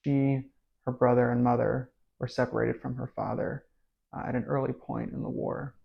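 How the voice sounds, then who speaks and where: A man talking about his grandmother's past in a documentary interview, measured and explaining, with frequent ums. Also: medium pitch, level tone, creaky, highs cut off.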